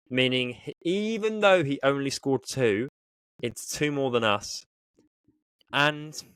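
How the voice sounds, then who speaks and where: A man's voice: medium pitch, no echo, rough recording. Casual male podcast co-host, close dry sound. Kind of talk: casual sports podcast chat.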